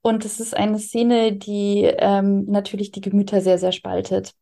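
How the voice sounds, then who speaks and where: Mellow-voiced woman being interviewed. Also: mid-range voice, flat delivery, a little slow, vocal fry. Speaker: interviewed audio drama writer. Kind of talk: conversational interview answers, explaining.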